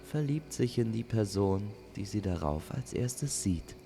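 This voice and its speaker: A man's voice: on the low side, animated, a little slow, vocal fry, background noise, voice pushed up. A man voicing a friendly archer spirit of love, courteous and earnest.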